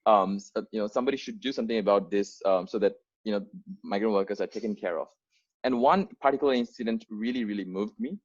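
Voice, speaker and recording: A young Bangladeshi-born man with a lowish voice speaks earnestly, often restarting his sentences. His English carries a first-language influence. The sound is muffled and lo-fi, like video call audio. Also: quick pace. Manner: earnest, frequent fillers and restarts. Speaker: founder giving an onboarding talk. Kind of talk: unscripted presentation to volunteers.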